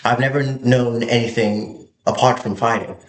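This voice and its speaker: A young man from Hong Kong giving an earnest, halting motivational monologue to camera, often repeating his words. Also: medium pitch, fairly even intonation, reverberant, rough recording.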